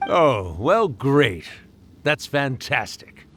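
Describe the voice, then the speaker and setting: Animated, teasing old yokai storyteller. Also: male voice, mid-range voice, slight background noise, full character voice. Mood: fond, cheerful. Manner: theatrical, teasing, drawn-out musing. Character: old jovial storyteller yokai spirit, fond and wistful. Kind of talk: video game voice acting.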